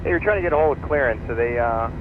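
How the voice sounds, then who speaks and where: A male airline pilot talks quickly and casually. His voice comes through a thin, phone-like cockpit radio with clear noise. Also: mid-range voice, animated. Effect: aviation radio. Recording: tinny, noisy cockpit radio transmission.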